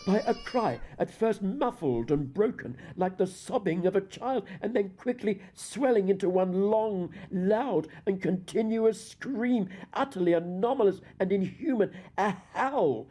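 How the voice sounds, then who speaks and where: A man's voice: sits high, mellow, breathy edge, pitched above the performer's own voice, exaggerated voice. A man performing a deranged, guilt-haunted murderer's confession in a theatrical, formal gothic audiobook reading. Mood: frenzied horror. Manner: theatrical, formal, dramatic emphasis.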